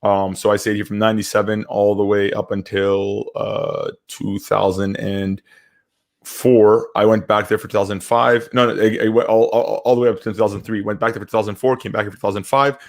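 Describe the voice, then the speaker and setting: A fairly low-pitched man in his twenties, from Syria and Canada, talks casually in a live discussion. He rambles and feels his way through points, often restarting and repeating words. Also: little pitch movement, fairly quick, vocal fry. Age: 20s. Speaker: podcast co-host.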